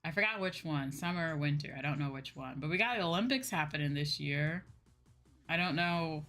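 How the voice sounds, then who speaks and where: Lower-voiced woman streamer chatting over music. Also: animated, brisk, fairly bright tone. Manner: rambling, thinking aloud, loosely repeating points. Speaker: livestream host and virtual streamer. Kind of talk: casual livestream chat with viewers. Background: background music.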